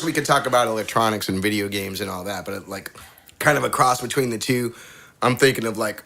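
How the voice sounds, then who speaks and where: A man's voice: mid-range voice, fairly bright tone. A man chatting casually in a group in a jokey way.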